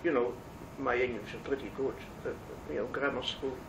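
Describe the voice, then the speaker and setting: An older woman from the Netherlands, speaking English with a Dutch accent, recalls her past in an oral history interview, pausing often with fillers. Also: rumbling low, fairly even intonation, roomy sound, muffled recording. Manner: halting, many pauses and fillers. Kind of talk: reminiscing conversation. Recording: distant mic, faint noise.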